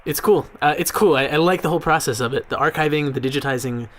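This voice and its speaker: An actor playing a mild, awkward young archivist in a naturalistic audio drama, speaking in a halting, reserved, deferential way. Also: male voice, fairly high pitch, close to an everyday voice. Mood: casual, reserved. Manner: hesitant, soft-spoken, halting.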